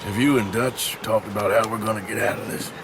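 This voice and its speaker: An actor playing a gruff, sardonic American outlaw gunman in a video game cutscene, with a dry, sarcastic delivery. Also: lowish voice, fairly even intonation, a little fast, close to an everyday voice. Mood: incredulous. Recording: clear background noise of outdoor ambience.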